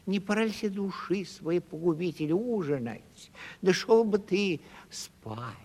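An actor playing a hapless, excitable wandering comedian in a radio play, telling things in a plaintive, animated comic way. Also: male voice, high-pitched, slow pace, exaggerated voice. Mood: mournful, mimicking. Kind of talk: 1970s theatrical radio play acting. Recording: reverberant radio drama with faint music.

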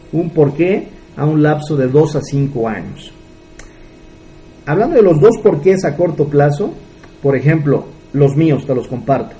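Mexican man in his 30s, motivational mentor, mellow warm tone, slightly high voice, faint hiss behind. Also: poor audio. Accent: Mexican Spanish. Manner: earnest, coaching, asks rhetorical questions. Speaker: business mentor. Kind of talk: motivational talk.

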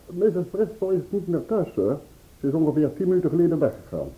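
An actor playing a dutiful, deferential building porter in a Dutch radio play, polite and servile. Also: male voice, fairly high pitch, very animated, fast talker. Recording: tinny narrow old radio recording.

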